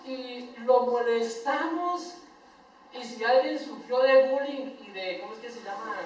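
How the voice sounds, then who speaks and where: An older Colombian man preaching a sermon as pastor, swinging between loud emphatic lines and soft tender asides. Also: extremely high pitch, a little slow, a little hiss or hum. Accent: Colombian Spanish. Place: church sanctuary. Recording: echoey church hall, voice from far off the mic.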